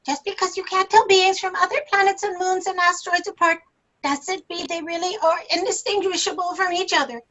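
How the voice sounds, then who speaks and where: A woman's voice: very high voice, fairly even intonation, brisk, fairly bright tone, poor audio. A woman playing an earnest eighteen-year-old alien girl intern who protests literally, in a comic sci-fi audio drama. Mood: indignant.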